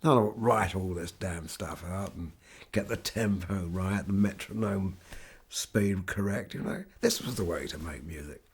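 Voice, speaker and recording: An older man, a guitarist, telling anecdotes from his life in a relaxed, wry, conversational way. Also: low voice, unhurried, breathy edge. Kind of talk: reflective anecdotal storytelling.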